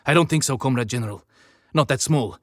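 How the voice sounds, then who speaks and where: A man's voice: medium pitch, fairly quick, fairly bright tone, dry recording, pitched above the performer's own voice, natural voice. Audiobook technician: male actor as anxious, deferential Russian subordinate, hesitant delivery, dry sound.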